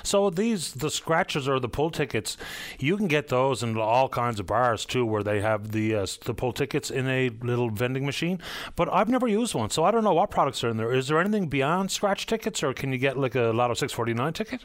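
A man's voice: mid-range voice, expressive, fairly quick. A man from the Ottawa Valley hosting a call-in radio show, chatty and upbeat with callers. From Ottawa Valley, Canada. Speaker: radio host.

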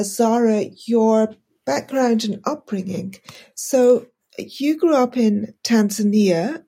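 A woman's voice: on the high side, mellow, husky. A woman hosting a podcast interview, polite and inquisitive, on a video call. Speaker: podcast host.

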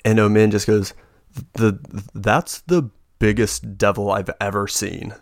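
A man narrating a tabletop game as game master, slow and hesitant with many fillers, getting over the flu. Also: lowish voice, little pitch movement, no room sound, studio quality. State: recovering from flu.

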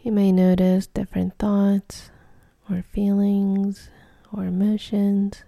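Female meditation guide: very mellow, relaxed tone with gravelly creak, soft and breathy, soothing short phrases. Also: medium pitch, slow, no room sound.

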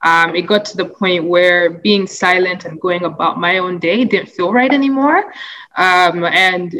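A young woman from Uganda answering interview questions in an earnest, thoughtful way, with frequent pauses, fillers and restarts. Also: fairly low pitch, fairly bright tone, low-quality recording. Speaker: interviewee, anti-racism advocate.

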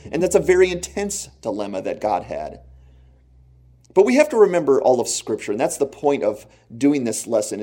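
Earnest man preaching in a mellow voice. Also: medium pitch, breathy edge. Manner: earnest, conversational preaching with slow emphatic phrases; laughs at own jokes. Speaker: pastor. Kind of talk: sermon.

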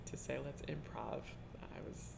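A woman interviewing a colleague in a relaxed, chatty way, often trailing off and restarting and laughing as she talks. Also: a bass voice, measured pace, creaky, background noise. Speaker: interviewer, teaching artist. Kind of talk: conversational interview. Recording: reverberant room with faint ambience.

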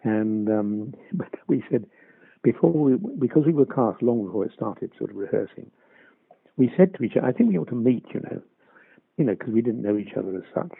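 An older actor reminiscing in an interview, hesitant with many restarts and chuckles. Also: male voice, mid-range voice, animated, fairly quick, gravelly, slightly rough, no room sound. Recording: dry, tinny phone-like line.

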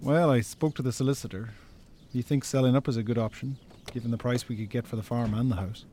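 An actor playing a practical, supportive Irish farmer's brother in a naturalistic radio drama. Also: male voice, mid-range voice, steady tone, fast talker, warm tone, a little hiss or hum, lightly acted. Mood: calm, reassuring.

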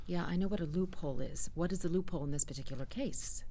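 A woman's voice: lowish voice, fast talker, dark tone. A woman acting as a wary, skeptical government office boss in a satirical audio drama, dryly questioning.

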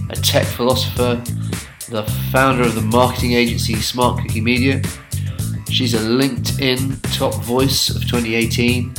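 A man of middle pitch introduces his show in a measured, formal way. Plucked guitar music plays clearly beneath his voice. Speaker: podcast host. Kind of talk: scripted intro then interview questions.

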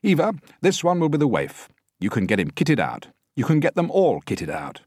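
A man's voice: medium pitch, huge pitch swings, darkish tone, breathy edge, no room sound, clean studio sound, pitched above the performer's own voice. Man acting a bossy film director, raised and projected. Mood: brisk, bossy. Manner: brisk, commanding, projected. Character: bossy, showy male film director giving orders.

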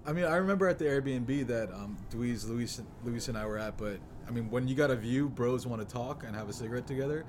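A man's voice: medium pitch, fairly quick, hardly any pauses, darkish tone. American man hosting, with noisy background. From US East Coast. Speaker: podcast host. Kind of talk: casual conversational interviewing.

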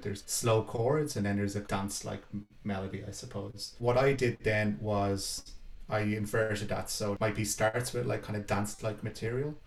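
Low, even-voiced man in a roomy space. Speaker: composer. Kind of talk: reflective interview talk about own work. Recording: roomy echo, faint music behind.

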